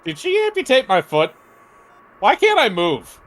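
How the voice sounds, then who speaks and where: A man's voice: sits high, sing-song, slow, fairly bright tone, faint music behind, heavily acted. A man playing a bewildered, incredulous patient who swears and protests in a horror game. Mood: alarmed. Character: bewildered, indignant male patient held captive.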